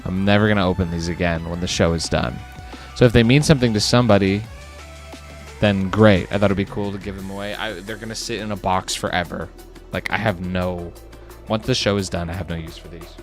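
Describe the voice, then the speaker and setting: A man who makes an animated series, chatting casually on a live stream at his desk, rambling with many fillers and restarts. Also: sits low, a little fast, soft background music. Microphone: webcam setup at a desk.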